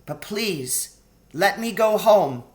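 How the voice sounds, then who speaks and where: Man voicing an earnest little girl, raised pitch. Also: animated, takes it slowly. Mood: polite, worried. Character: earnest, kind young girl, anxious but polite.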